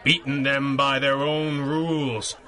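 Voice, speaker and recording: An actor playing a confident, shrewd masked superhero, speaking formally and eloquently in a 1930s-style radio serial. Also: male voice, medium pitch, flat delivery, slow, pushed and bright, some chatter behind. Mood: impassioned, assured.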